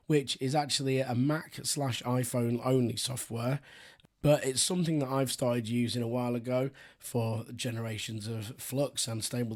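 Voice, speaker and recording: A man with a sore, hoarse throat and a raspy voice, talking through a software walkthrough in a relaxed, rambling way with frequent ums. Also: mid-range voice. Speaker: software tutorial host. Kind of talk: screen-recorded software walkthrough.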